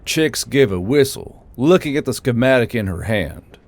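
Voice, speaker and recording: A man's voice: medium pitch, lively intonation, moderate pace, a little mellow. A man reading scripted fantasy story narration as the narrator. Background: background music.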